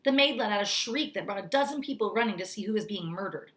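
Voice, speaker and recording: A woman reads a storybook aloud in the manner of a teacher. Her narration is expressive, with a mid-range voice and very lively movement in pitch. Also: fast talker.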